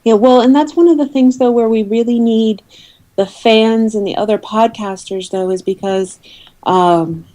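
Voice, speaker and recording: A woman's voice: on the high side, moderate pace, warm tone. A woman speaking as an interview guest, explaining things earnestly in a conversational way. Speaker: interview guest, awards committee representative.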